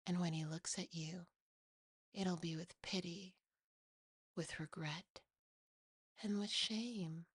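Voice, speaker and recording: Lady doing a creepy possessive demon, hushed hissy whisper, really slow and cruel, super close dry sound, horror asmr. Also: fairly low pitch, no room sound. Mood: sneering. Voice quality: hushed, breathy, hissing. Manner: slow, taunting, seductively cruel.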